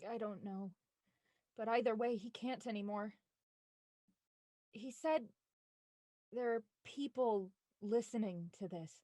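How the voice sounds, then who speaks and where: A woman's voice: on the high side, slow pace, pauses often, dark tone, no echo. A woman playing an anxious twenty-four-year-old writer finding her nerve, in a naturalistic, hesitant audio drama performance. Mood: shaken, subdued. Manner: hesitant, earnest.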